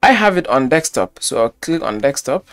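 A man's voice: mid-range voice, sing-song, moderate pace, soft background music. A man walking through a website-building tutorial step by step, repeating key phrases as he clicks through the screen. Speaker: web design tutor. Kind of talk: screen-recorded software tutorial.

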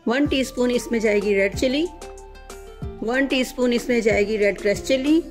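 A woman's voice: on the high side, moderate pace. Cooking channel lady explaining step by step, echoey kitchen, long reverb. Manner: instructive, often ends with "theek hai". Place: home kitchen. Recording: echoey room with background music.

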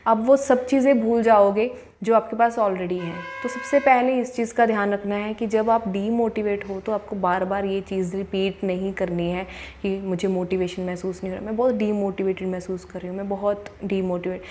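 A young woman gives an earnest motivational talk to camera, close and clear on a lapel mic. She slows down to repeat her affirmations, and her pitch sits in a middle range for a woman. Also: darkish tone. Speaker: law of attraction coach. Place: indoors, in front of a plain wall. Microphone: clip-on lapel mic.

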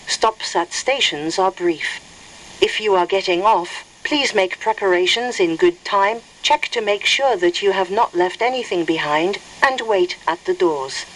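A woman giving a scripted, formal train announcement in a careful, measured way. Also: mid-range voice, a bit bright, low-quality recording. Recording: train speakers with faint noise. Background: train cabin hum.

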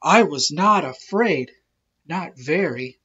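A woman's voice: deep voice, lively intonation, slow, fairly bright tone, breathy edge, muffled recording, exaggerated voice. Woman voicing a bashful, swooping storybook goat. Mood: bashful relief. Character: timid farm goat seeking shelter, gently bashful.

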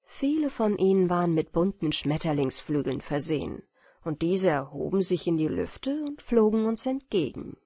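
Woman, audiobook narrator, bedtime fairy tales, gentle, breathy-husky, close dry sound. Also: lowish voice, sing-song, normal speed, no room sound.

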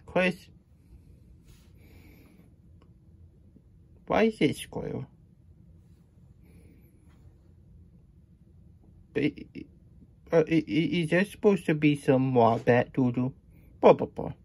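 Man as a husky, slow, childlike hedgehog puppet. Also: mid-range voice, warm tone. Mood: puzzled, grumbling. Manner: speaks of self in third person, halting, folksy. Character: childlike, simple, good-natured hedgehog plush puppet. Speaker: host reading a picture book aloud. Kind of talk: puppet storytime read-aloud with chatty asides.

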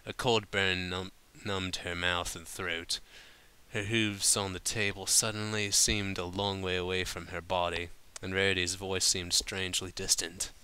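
A man's voice: low voice, little pitch movement, measured pace, bright, forceful tone, smooth. A man reading a story aloud informally, sometimes stumbling over his words and breaking off to comment. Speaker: fan fiction reader.

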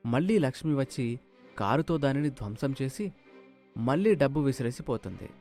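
A man tells a moral story as scripted narration. His voice sits in a middle range, with soft music playing behind it. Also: medium pitch.

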